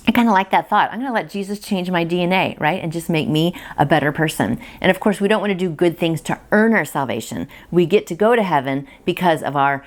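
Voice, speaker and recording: Lower-pitched woman reading a devotional. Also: animated, fast talker, few pauses. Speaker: devotional host and reader. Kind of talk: devotional reading with casual asides.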